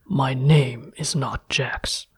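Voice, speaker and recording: Woman doing a gruff gravelly captive man. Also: steady tone, takes it slowly, exaggerated voice. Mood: angry, menacing. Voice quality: deep, gravelly.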